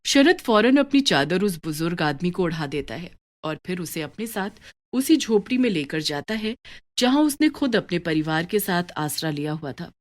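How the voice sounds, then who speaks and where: Expressive woman storyteller. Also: on the low side, moderate pace. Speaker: narrator. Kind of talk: scripted storytelling narration.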